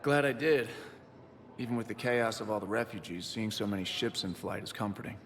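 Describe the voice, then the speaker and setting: An actor playing a grieving, loyal military pilot in a sci-fi game, reflective, subdued and sincere. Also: male voice, lowish voice, fairly even intonation, brisk, in a large hall, lightly acted. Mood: wistful. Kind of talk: video game voice acting.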